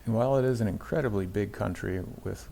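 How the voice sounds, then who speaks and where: A man's voice: lowish voice, quick pace, gravelly, dark tone, a little hiss or hum. A middle-aged man from the United States narrating a travel vlog about a road trip in an easygoing, lightly wry way.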